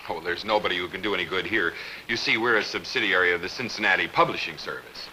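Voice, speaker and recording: A low, matter-of-fact office man. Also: fast talker, sharp tone, a little hiss or hum, low-quality recording. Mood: explaining. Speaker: office worker.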